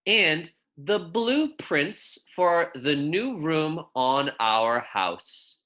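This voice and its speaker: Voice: medium pitch, big pitch swings, drawn-out words, bright, forceful tone. A teacher giving a read-aloud lesson for young children in a friendly, informal, encouraging way. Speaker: elementary school teacher.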